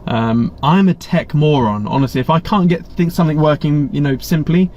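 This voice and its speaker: A man's voice: a little high, moderate pace, a little mellow, slight background noise. An English man hosting a casual live stream, chatty and rambling, with blunt asides and many restarts. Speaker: livestream host. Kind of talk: casual live Q&A, reading viewer chat. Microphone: webcam setup. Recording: slightly muffled stream audio.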